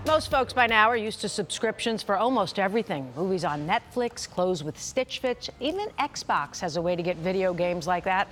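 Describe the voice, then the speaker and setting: A woman's voice: medium pitch, expressive, normal speed, hardly any pauses, fairly bright tone, faint music behind. A woman anchoring TV news in a conversational, chatty way, speaking directly to viewers. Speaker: TV news anchor and consumer reporter. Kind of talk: broadcast news presenting, conversational.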